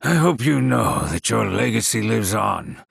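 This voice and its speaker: A mournful male game scholar, heavy with gravel and husk. He speaks slowly and formally, with wistful swells in pitch. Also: expressive, fairly bright tone, dry recording, studio quality, heavily acted. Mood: mournful, reverent. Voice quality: gravelly, husky. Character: grieving, reverent scholar mourning a departed professor. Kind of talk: video game character dialogue.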